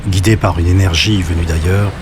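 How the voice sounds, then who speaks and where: A man narrating a scripted audio drama in a solemn, measured, literary manner. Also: low-pitched, steady tone, background noise. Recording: guitar music behind the voice.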